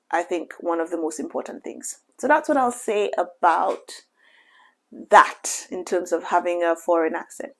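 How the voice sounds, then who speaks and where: Measured woman giving advice. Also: medium pitch. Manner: measured and reassuring, often says "you know". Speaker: doctor giving career advice. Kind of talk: casual advice vlog.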